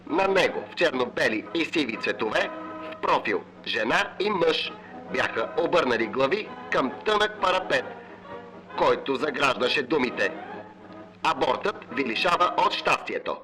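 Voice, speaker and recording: A man's voice: medium pitch, expressive, normal speed, bright, forceful tone, rough recording. A man reading scripted literary narration as the narrator of a dystopian story. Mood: measured. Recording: music behind the voice. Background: music.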